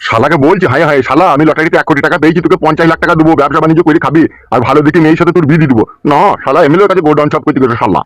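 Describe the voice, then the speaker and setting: A man's voice: fairly high pitch, rapid-fire, few pauses, dry recording, natural voice. A man voicing a hot-tempered middle-aged village father in a comic cartoon, scolding and cursing in an abusive harangue. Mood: furious scolding. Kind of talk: comic Bengali cartoon dub.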